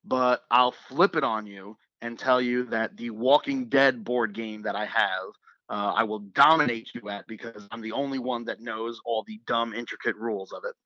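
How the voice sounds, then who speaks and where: A man with a slightly raspy voice, a radio producer chatting casually as a guest, relaxed and joking with fillers and restarts. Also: medium pitch, moderate pace, no echo, dull audio. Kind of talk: casual interview chat.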